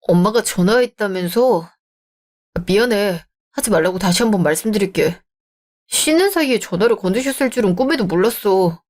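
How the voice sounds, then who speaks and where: A performer voicing a young fiancé in a radio drama, apologetic and conciliatory. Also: male voice, high-pitched, lively intonation, normal speed. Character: young fiancé caught between mother and bride.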